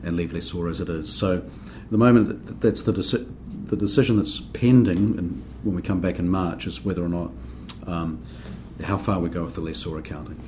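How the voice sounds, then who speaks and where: A man from New Zealand with a New Zealand accent, explaining accounting rules on a podcast in a measured way, with frequent ums and restarts. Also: low-pitched, fairly even intonation, a little mellow, a little hiss or hum. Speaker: accounting standards board member. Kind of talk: podcast discussion.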